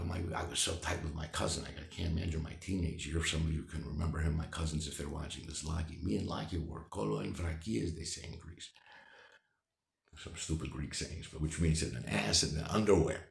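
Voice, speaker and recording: Very deep gravelly older man, rambling. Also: brisk, echoey room. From United States. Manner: rambling, full of fillers and restarts. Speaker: vlogger telling his life story. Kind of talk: candid personal storytelling.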